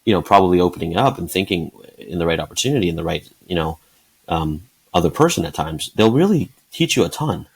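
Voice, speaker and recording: A man in his forties who is the guest in an interview, answering in a thoughtful, halting way. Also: sits low, lively intonation, husky, rough recording. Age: 40s. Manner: thoughtful, halting, many fillers and restarts. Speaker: guest, sales leader.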